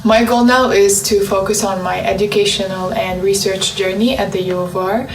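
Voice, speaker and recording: A young woman gives a student testimonial with very little pitch movement and noticeable vocal fry. Her voice is recorded in an echoing room, a little away from the mic. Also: fairly even intonation, normal speed, a little hiss or hum. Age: young adult. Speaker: university student. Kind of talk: personal testimonial. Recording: roomy, distant from the mic.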